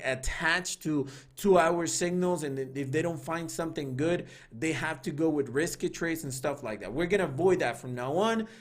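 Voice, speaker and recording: A man with a voice pitched a little above the usual talks casually to his livestream viewers. His delivery is loose and conversational, full of fillers, restarts and asides as he works through announcements and the chat. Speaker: trading signals group host. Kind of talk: casual livestream talk reading live chat.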